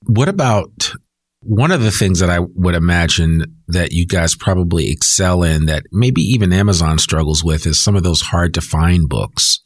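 A man's voice: deep voice, moderate pace, breathy edge, no echo, studio quality. A man hosting an interview in a casual, chatty way, given to telling little anecdotes. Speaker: interview host.

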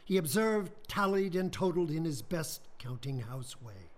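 A man narrating a radio play in a measured, solemn way. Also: on the high side, animated. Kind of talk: scripted storybook narration.

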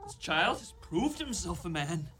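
A woman's voice: on the low side, sing-song, a little slow. A woman playing a grandiose, cowardly young man in a radio play, with florid, theatrical speech. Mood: gallant, resigned. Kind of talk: live radio play acting. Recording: live studio recording, noisy ambience.

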